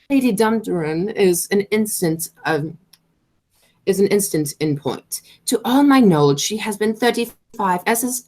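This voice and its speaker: A woman playing an imperious, pompous aristocratic matron in an amateur radio play, speaking in a formal, deliberate way. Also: medium pitch. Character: haughty, imperious aristocratic matron Lady Bracknell, coolly disapproving.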